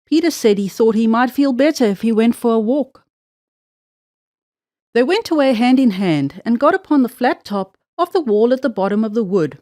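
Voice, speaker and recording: A woman's voice: a little high, lively intonation, normal speed, warm tone, no room sound, studio quality. A woman narrating a children's storybook expressively, drawing out words for emphasis.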